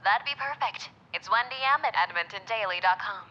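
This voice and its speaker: A woman's voice: mid-range voice, expressive, fairly quick, pushed and bright. A woman playing a courteous, professional newspaper editor through a telephone filter in an audio drama. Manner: polite, professional. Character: courteous female newspaper editor answering phones. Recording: noisy, dull telephone line.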